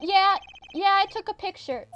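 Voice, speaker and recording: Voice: extremely high pitch, normal speed, lo-fi sound, faint music behind. An actor playing a stubborn, brash young boy witness in a video game fandub. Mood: excited. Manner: boyish, brash, indignant.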